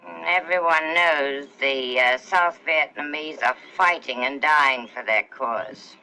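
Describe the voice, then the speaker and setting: An actress playing a skeptical newspaper reporter questioning soldiers in a 1960s war film, heard through a poor playback. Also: female voice, deep voice, slow pace, bright, forceful tone, a little hiss or hum, heavily acted. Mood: probing. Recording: poor film clip played back.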